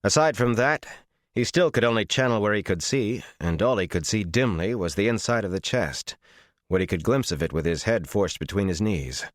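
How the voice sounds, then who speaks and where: Deep-voiced man narrating a fantasy audiobook. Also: moderate pace, no room sound, clean studio sound.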